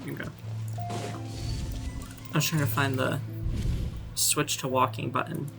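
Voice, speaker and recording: Female game streamer: deep, gravelly fry, offhand reactions over game music. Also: level tone, measured pace. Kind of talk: casual playthrough commentary.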